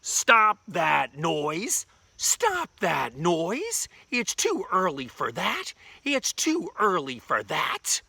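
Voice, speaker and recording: A man voicing irate townspeople in a children's story, hollering loudly and slowly. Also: high voice, wildly swooping pitch, vocal fry, husky, dry recording, pitched above the performer's own voice, exaggerated voice. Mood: angry. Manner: loud drawn-out hollering.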